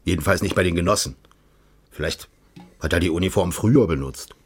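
An actor playing a secretive former secret police official in a radio crime drama, formal and measured, persuasive with quiet menace. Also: male voice, low voice. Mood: measured, probing. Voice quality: husky.

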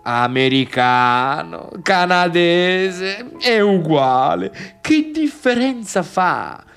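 Slow, drawling, patronising father. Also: high-pitched, big pitch swings, creaky, a bit bright, smooth, soft background music, full character voice. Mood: patronising, curious. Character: effusive, patronising middle-aged father of a young pianist. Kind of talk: theatrical radio drama dialogue.

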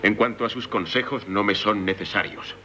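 Deep-voiced, haughty court chamberlain. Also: male voice, moderate pace, sharp tone. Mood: guarded, indignant. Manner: curt, haughty, formal. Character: proud, guarded 38-year-old male imperial court chamberlain. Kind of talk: 1970s Spanish radio theatre acting.

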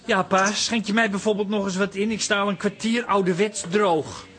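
An actor playing a thirsty, cheeky barfly in a radio comedy drama, wheedling and complaining. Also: male voice, high voice, moderate pace, a bit bright.

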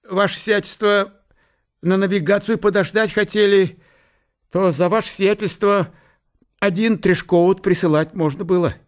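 An actor playing a deferential German general who speaks with a German accent in halting, stilted, very formal phrases. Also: male voice, sits high, pitched above the performer's own voice. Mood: obsequious.

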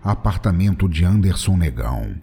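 A man's voice: low voice, big pitch swings, moderate pace, dark tone. A man with a Brazilian accent narrating a scripted comic story in a solemn, dramatic way. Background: music.

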